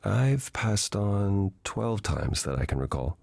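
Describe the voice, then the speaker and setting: An actor playing a gentle, melancholy god in a video game, speaking in a measured, formal and earnest way. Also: male voice, fairly low pitch, very animated, creaky, breathy edge, dry recording, exaggerated voice. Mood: wistful. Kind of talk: video game dialogue acting.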